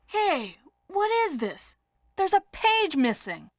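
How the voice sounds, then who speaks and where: A woman's voice: very high-pitched, wildly swooping pitch, slow pace, no echo, studio quality, heavily acted. An actress playing an earnest, worried young female rescue operative in a 1990s video game, with stiff, over-enunciated delivery. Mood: puzzled, uneasy.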